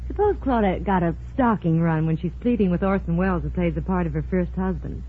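A woman's voice: lowish voice, fairly quick. A woman reading a scripted 1940s radio commercial with polished, chatty delivery. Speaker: commercial spokeswoman. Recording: narrow-band, noisy 1940s radio recording.

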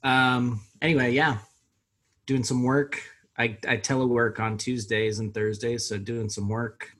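A man co-hosting a casual podcast, easygoing and relaxed as he chats. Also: mid-range voice, measured pace. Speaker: podcast co-host.

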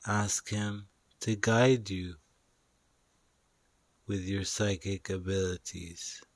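A man with a lowish voice leads a guided meditation at a very slow pace. He gives soothing instructions in short phrases with pauses between them. The recording is dry and close, with little room sound. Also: fairly even intonation, no echo.

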